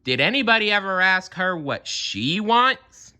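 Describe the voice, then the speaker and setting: Man doing a breezy, cheeky, bright-voiced young zebra. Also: high-pitched, very animated, a little slow, pitched above the performer's own voice, exaggerated voice. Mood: teasing. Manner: breezy, calling out.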